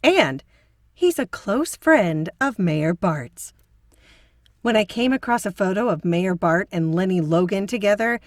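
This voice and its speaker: A woman playing a sharp, determined amateur investigator with a wry, deliberate manner in an audio drama. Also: medium pitch, no room sound, clean studio sound, close to an everyday voice.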